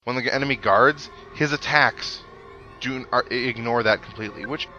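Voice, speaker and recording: A man's voice: mid-range voice, normal speed, pushed and bright, poor audio. A man giving casual, rambling let's play commentary, thinking aloud as he plays. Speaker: gaming host. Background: video game music.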